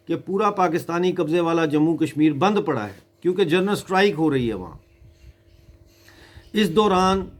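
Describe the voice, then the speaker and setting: A man from Mirpur in Kashmir gives a political address as an activist, speaking gravely and deliberately with emphatic pauses. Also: sits high.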